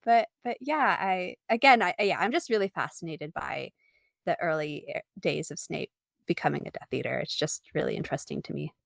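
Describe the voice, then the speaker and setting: A woman speaking as an interview guest, an artist chatting casually about her own work in an animated, informal, giggly way. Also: sits low, brisk, gravelly, no echo, clean studio sound.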